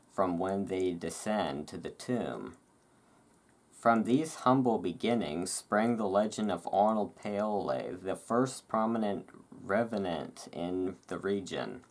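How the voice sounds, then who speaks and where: Low, unhurried voice reading aloud. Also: a little mellow. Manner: informal, chatty, musing asides between read passages. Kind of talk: relaxed ASMR-style reading of vampire history with casual asides.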